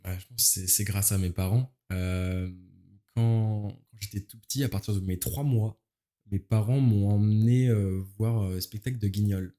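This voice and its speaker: A man of nineteen speaks in a lowish, dark and relaxed voice. He talks casually as a guest, using informal slang and feeling his way through his phrases. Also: normal speed. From Paris, France. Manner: informal, youthful slang, hesitant searching phrasing. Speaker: interview guest, musical theatre performer. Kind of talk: casual interview chat.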